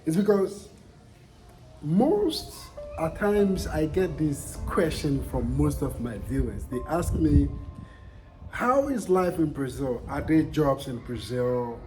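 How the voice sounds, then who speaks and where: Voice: mid-range voice, very animated, slow, mellow, low-quality recording. A vlogger with a Ghanaian accent speaking to viewers in a reflective, conversational way, repeating points for emphasis. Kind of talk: personal vlog narration to viewers. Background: music.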